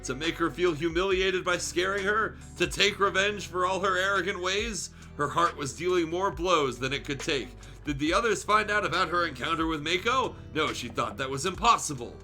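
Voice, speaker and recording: Bright-voiced man streamer, sardonic and sweary. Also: fairly high pitch, expressive, moderate pace, faint music behind. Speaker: streamer reading fan fiction aloud. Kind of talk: livestream read-aloud with joking off-the-cuff commentary.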